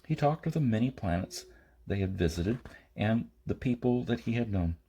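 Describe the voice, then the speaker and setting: A man reading a science fiction novel aloud as an amateur audiobook narrator, in short phrases with pauses and the odd stumble. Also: fairly low pitch, expressive, dark tone, husky. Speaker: audiobook reader.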